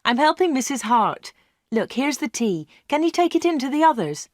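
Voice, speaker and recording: A woman's voice: sits high, sing-song, normal speed, dry recording, clean studio sound, pitched above the performer's own voice. A woman voicing a polite seventeen-year-old girl in a read-along storybook. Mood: lively.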